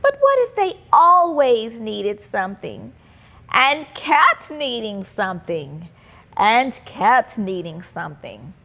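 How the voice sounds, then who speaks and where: A woman narrates a children's storybook in a high, very animated voice. She takes her time with the lines, reading expressively and deliberately for young listeners. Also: gravelly. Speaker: children's book reader and host.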